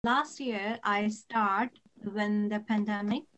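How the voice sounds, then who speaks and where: A woman's voice: mid-range voice, fairly even intonation, slow, fairly bright tone, low-quality recording. A woman in her twenties from India, an ESOL student, speaking in short simple sentences with some fillers. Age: 20s. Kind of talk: podcast interview answers.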